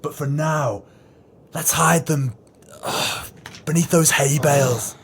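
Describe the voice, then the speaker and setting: An actor in an audio play voicing a callous, arrogant swindler and killer. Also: male voice, a little high, fairly even intonation, slow, vocal fry, a little hiss or hum. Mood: grudging. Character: callous, smug swindler and murderer, businessman. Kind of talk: audio drama acting.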